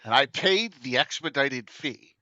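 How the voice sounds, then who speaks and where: A man in his late fifties hosting a casual chat show, joking and rambling excitedly. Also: medium pitch, unhurried, no echo, dull audio. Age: 50s. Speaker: podcast host.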